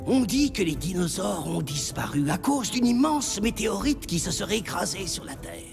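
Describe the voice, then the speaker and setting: Creaky, high-pitched cartoon village sage. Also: male voice, animated. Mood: grave, explanatory. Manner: explanatory, measured wording. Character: learned, earnest male village sage. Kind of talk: French animated cartoon acting. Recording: music behind the dialogue.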